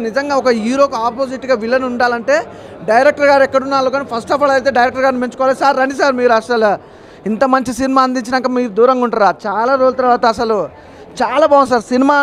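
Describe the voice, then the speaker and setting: A man's voice: extremely high pitch, a bit bright. A man with a Telugu accent giving an effusive, enthusiastic film review as a moviegoer. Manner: effusive, gushing.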